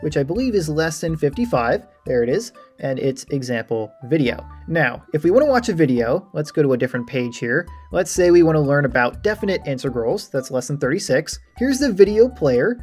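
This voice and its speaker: A man's voice: fairly high pitch, big pitch swings, fairly quick, few pauses, darkish tone, soft background music. A man giving an informal, explanatory screen-share walkthrough as an online tutorial creator. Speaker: math tutorial creator giving a site tour. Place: voice-over for a screen recording.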